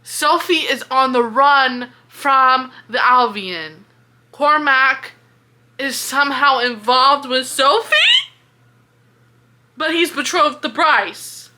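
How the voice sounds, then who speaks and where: A young woman with a high, bright voice talks unhurriedly through a book, thinking out loud. She is animated and exasperated and swears freely. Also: takes it slowly, slight background noise. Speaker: book reviewer reading along. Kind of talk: casual chat, thinking aloud.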